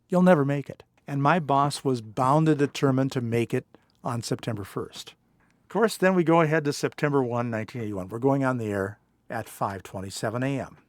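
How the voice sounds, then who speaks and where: A man in his sixties in an interview booth, telling an old work story in a lively, anecdotal way. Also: medium pitch, no room sound. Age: 60s. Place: recording booth.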